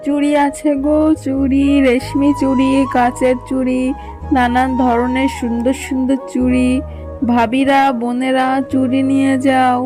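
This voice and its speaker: A woman's voice: high voice, little pitch movement, measured pace, poor audio. A woman voicing a coaxing, firm female street seller in a children's cartoon. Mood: hawking. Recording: music behind the voice.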